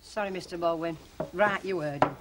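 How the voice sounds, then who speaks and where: A woman's voice: on the low side, moderate pace, background noise, natural voice. An actress playing a firm, no-nonsense forewoman, scolding her coworkers.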